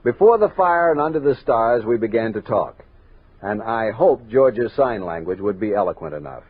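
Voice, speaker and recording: An actor playing a resolute, watchful army captain who narrates a western radio drama in measured, earnest tones. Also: male voice, lowish voice, lively intonation, husky, no echo, narrow, tinny audio. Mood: grave, uneasy. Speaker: narrator and lead character. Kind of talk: 1960s western radio drama acting, first-person narration. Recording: noisy, band-limited 1960s radio recording.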